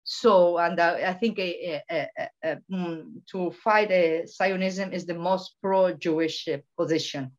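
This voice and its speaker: Emphatic woman from Venezuela, halting delivery. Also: lowish voice, fairly even intonation. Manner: halting, many restarts and repetitions, emphatic. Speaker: guest, political researcher. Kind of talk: impassioned interview talk. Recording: dull lo-fi sound.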